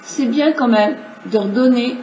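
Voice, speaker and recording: A middle-aged woman with a high, light voice, a little stuffy from a cold, talks viewers through her makeup in a chatty, explanatory way. She sounds roomy and echoey, with a faint hiss in the background. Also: measured pace, mellow. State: has a cold. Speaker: makeup tutorial host. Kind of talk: casual makeup demonstration.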